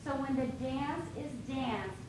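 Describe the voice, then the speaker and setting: A woman whose first language is Lakota Dakota speaks to an audience in a calm, explanatory way. Her voice sits a little high. She is heard from a distance in a very echoey hall with steady noise behind her. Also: slow. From Dakota Lakota and Ojibwe people. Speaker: flute player and dancer presenting to an audience. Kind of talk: educational talk to an audience.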